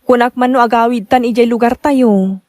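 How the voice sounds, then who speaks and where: A woman playing a caring, cautious younger woman in an Ilocano radio drama, concerned and gently questioning. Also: on the high side, fairly even intonation, normal speed, no echo, close to an everyday voice. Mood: doubtful.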